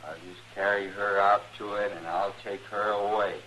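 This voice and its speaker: An actor playing a simple-minded, stubborn backwoods son in an old radio western, with a rural American drawl. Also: male voice, lowish voice, fairly even intonation, normal speed, pushed and bright. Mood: yearning, stubborn. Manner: childlike, stubborn. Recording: noisy vintage radio recording.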